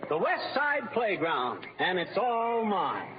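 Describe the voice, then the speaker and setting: Naive, flustered little husband, high animated voice, stammering, vintage radio comedy, muffled recording. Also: male voice, takes it slowly, background noise, exaggerated voice. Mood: earnest, flustered. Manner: stammering, hesitant. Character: naive, bumbling, henpecked husband, newly made parks commissioner. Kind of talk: 1940s radio comedy acting.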